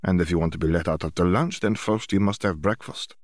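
A man voicing a calm, devoted young woman in an audiobook, speaking in a measured, formal, reassuring way. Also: sits low, no room sound, studio quality, natural voice. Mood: gently firm. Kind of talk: audiobook character voice.

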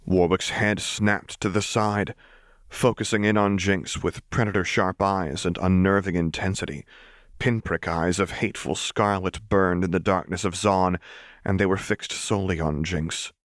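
A man's voice: deep voice, fairly even intonation, moderate pace, no echo, clean studio sound. A man reading scripted fiction as an audiobook narrator, in a dramatic storytelling style.

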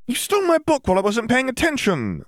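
A man's voice: very high-pitched, wildly swooping pitch, fast talker, no echo, studio quality, voice pushed up, exaggerated voice. A man voicing a studious young girl witch, earnest and stubborn, in an audiobook story. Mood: indignant. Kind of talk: audiobook character voice.

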